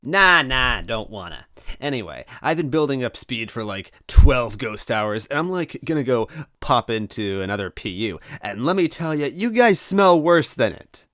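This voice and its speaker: A man's voice: medium pitch, sing-song, a bit bright, dry recording, studio quality, voice pushed up. A man voicing a mischievous, taunting male ghost in a story reading, with slangy, teasing delivery. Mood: rambling, flippant. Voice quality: distorted. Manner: teasing, slangy, drawn-out exclamations.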